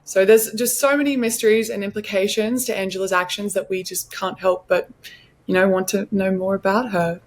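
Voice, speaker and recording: A woman's voice: medium pitch, fairly even intonation, fairly quick. A woman, an actress, talking about her role in a conversational interview.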